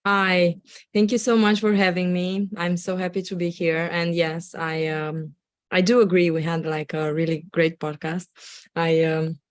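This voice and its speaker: A woman from Romania with a Romanian accent, giving a live webinar presentation over a video call while fighting a cold, her voice slightly squeaky and full of ums and restarts. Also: little pitch movement, a little slow, no echo. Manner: hesitant, many ums and restarts. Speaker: guest expert presenter.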